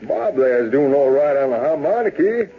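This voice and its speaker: An actor playing a modest, folksy Old West cowboy musician with a Western American drawl in a vintage radio western. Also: male voice, medium pitch, very animated, normal speed, slight background noise, lightly acted. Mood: easygoing, folksy. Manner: folksy, rustic, chuckling. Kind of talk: 1930s-40s radio western acting. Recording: tinny band-limited old radio recording.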